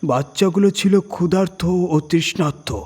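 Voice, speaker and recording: A husky, slightly high-voiced male clerk, acted out by a male storyteller, weighed down by guilt. He confesses haltingly and apologetically, as a figure in an audio horror story. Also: mellow. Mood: grave, confessing. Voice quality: husky, trembling voice. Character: guilt-ridden head clerk confessing to a terrible secret.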